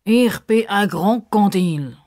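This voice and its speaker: Woman putting on a pompous, slow-talking male crocodile. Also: mid-range voice, lively intonation, a little mellow, pitched above the performer's own voice. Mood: offended, pedantic. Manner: pompous, drawn-out, over-polite formal speech.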